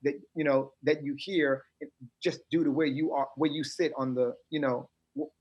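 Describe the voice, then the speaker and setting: A middle-aged American man, a guest on a casual podcast interview, talking in an animated, emphatic, streetwise way. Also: fairly high pitch, moderate pace, husky, muffled recording. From United States.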